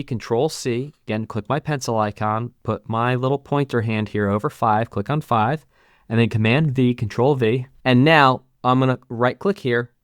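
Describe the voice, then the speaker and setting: A man's voice: mid-range voice, clear, resonant tone, no room sound. Man giving a dry, close-miked tutorial. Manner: casual, instructional, talking through each click. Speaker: music teacher and channel host. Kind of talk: step-by-step software tutorial narration.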